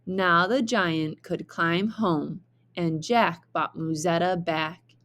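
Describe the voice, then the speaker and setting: Woman teacher reading a kids book aloud, slow n expressive, lowish voice. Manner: expressive, deliberate. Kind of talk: children's storybook narration.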